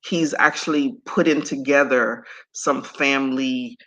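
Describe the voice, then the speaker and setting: A middle-aged woman from Antigua with a deep voice, talking as a guest in an interview in an animated, emphatic way. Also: a little slow, poor audio. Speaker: guest speaker and coach. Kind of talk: conversational interview.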